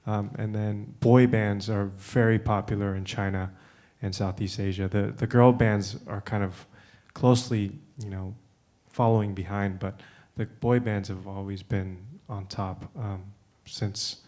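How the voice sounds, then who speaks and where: A man with a fairly low voice speaks as a panelist at a conference. He grew up in the United States and talks loosely, stopping to restart and filling pauses with "um" and "uh.". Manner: relaxed, with many fillers and restarts. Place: conference room.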